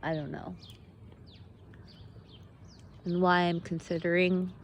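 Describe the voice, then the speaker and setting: A woman giving an unscripted, reflective monologue while out walking in nature, thinking aloud in halting, drawn-out phrases. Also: lowish voice, level tone, slight background noise. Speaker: host of a personal walking monologue. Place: outdoors on a walk in nature.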